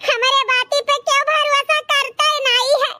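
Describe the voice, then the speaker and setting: A performer with a pitched-up, squeaky voice and an eastern Uttar Pradesh accent, voicing a melodramatic, complaining village woman in a comedy cartoon. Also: little pitch movement, moderate pace, background noise. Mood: tearful, pleading. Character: scolding village mother-in-law and wronged widowed daughter-in-law, cartoon women.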